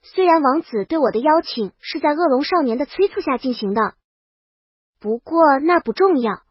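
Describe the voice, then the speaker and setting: A woman's voice: high-pitched, animated, moderate pace, no echo, muffled recording. A woman reading a scripted first-person comic story as a princess, with dramatic, wry delivery. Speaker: narrator.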